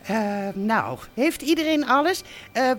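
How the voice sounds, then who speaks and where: A woman's voice: fairly high pitch, animated, slow pace. A woman playing an affectionate, fussing mother of grown-up children in a radio drama, repeating herself as she goes. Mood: flustered. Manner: fussy, repeats herself, coaxing endearments. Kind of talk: naturalistic Dutch radio drama acting.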